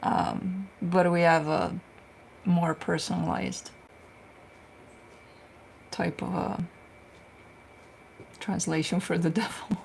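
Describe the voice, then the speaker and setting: A woman's voice: on the low side, level tone, slow pace, creaky, long pauses, a little mellow, a little hiss or hum. A woman, a tarot reader chatting casually on a livestream, telling stories and answering viewer questions. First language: Romanian.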